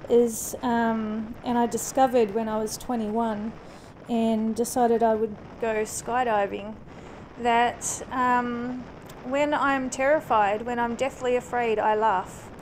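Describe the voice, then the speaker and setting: A woman's voice: a little high, darkish tone, poor audio. A woman in her late thirties from New Zealand, raised in Australia, with a mixed New Zealand and Australian accent, chatting casually with plenty of ums and restarts while riding a motorcycle. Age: late 30s. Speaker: motorcycle vlogger. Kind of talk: casual chat to camera. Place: riding a motorcycle on an open country road. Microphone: helmet-mounted action camera. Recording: engine and wind noise on a helmet cam. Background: motorcycle engine and wind noise.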